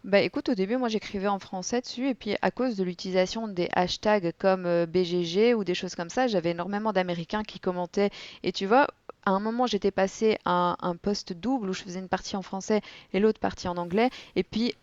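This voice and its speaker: A young woman speaking as a guest on a casual podcast, animated and chatty as she tells her stories. The audio is dull and lo-fi, and dry, with no room sound. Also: mid-range voice, fairly quick, no echo. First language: French. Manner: lively storytelling, animated. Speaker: guest, board game content creator. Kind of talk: relaxed podcast interview.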